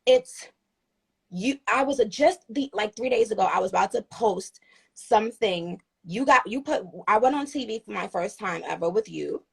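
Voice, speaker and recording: A woman's voice: medium pitch, animated, moderate pace, gravelly, breathy edge. A woman in her thirties from Trinidad, chatting earnestly and gratefully on a phone livestream, rambling with restarts. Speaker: livestream host. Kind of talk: casual chat on a livestream.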